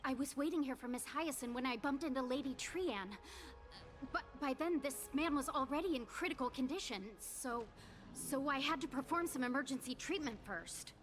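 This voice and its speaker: A woman's voice: high-pitched, moderate pace, lightly acted. A woman voicing a polite, dutiful female attendant in an anime game. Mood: worried, reporting.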